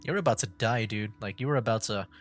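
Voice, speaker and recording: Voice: medium pitch, fast. A streamer giving casual, offhand, chatty commentary while playing a game. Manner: offhand and chatty, muttering reactions. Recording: over game music.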